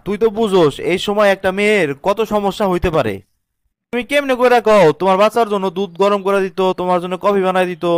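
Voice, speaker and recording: A protective, principled young cartoon husband acted by a man, with a high, smooth voice. He talks fast and earnestly in colloquial Bangladeshi speech, explaining and lecturing. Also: dry recording, natural voice. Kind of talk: cartoon drama dialogue.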